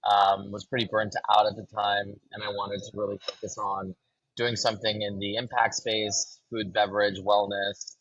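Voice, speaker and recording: A man from Los Angeles, a brand co-founder, talking casually in a podcast interview and pausing on ums and restarts. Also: fairly low pitch, slightly rough, no echo, muffled recording. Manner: relaxed, fills with ums and restarts.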